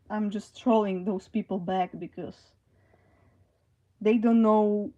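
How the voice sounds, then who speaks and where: Slow, hesitant woman streamer. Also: medium pitch, dark tone. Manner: hesitant, many fillers. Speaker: livestreamer. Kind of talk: live stream, reading and answering chat.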